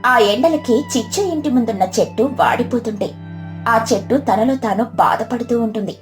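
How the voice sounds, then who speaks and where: Higher-voiced woman narrating a children's story. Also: a little fast, a little mellow, soft background music.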